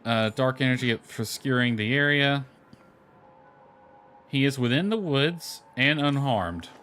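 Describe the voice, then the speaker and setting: A man's voice: mid-range voice, animated, measured pace, a bit bright. Casual guy gaming over music. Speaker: gamer commenting on own playthrough. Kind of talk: casual game commentary, reading dialogue aloud. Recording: lo-fi music playing behind. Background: background music.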